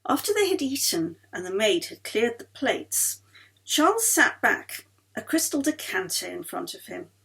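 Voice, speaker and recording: Woman, audiobook narrator. Highly animated intonation, slightly high voice, expressive literary storytelling. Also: unhurried, vocal fry, poor audio. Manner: acts out dialogue of characters. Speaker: author reading own novel aloud.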